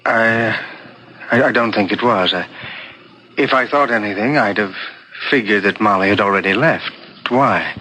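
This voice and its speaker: An actor playing a guilt-ridden, unhappy husband who speaks haltingly and guardedly in a 1970s radio mystery. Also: male voice, on the low side, bright, forceful tone, slight background noise, poor audio. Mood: evasive, uneasy.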